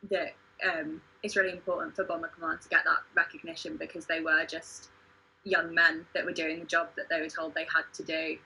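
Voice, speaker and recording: Bright, slightly husky woman. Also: sits low, low-quality recording. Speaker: podcast host, theatre company member. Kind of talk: livestream discussion.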